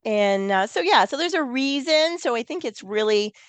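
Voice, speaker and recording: A woman with a froggy, hoarse voice from a cold, hosting a casual gardening podcast and thinking aloud as she talks. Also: fairly high pitch, expressive, normal speed, no echo. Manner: chatty, thinks aloud with restarts. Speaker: podcast host, garden designer.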